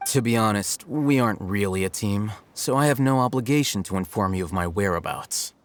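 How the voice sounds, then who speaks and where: A man voicing an aloof, coolly logical young scholar in a video game, measured, condescending and matter-of-fact. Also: fairly low pitch, slight background noise, lightly acted. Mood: detached. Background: guitar music.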